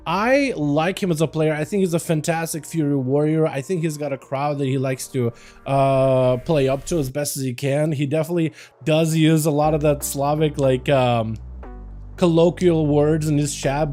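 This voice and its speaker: A man's voice: on the high side, dark tone. A young man from Russia streaming a game and chatting loosely through a headset, full of fillers and restarts. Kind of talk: casual livestream chat.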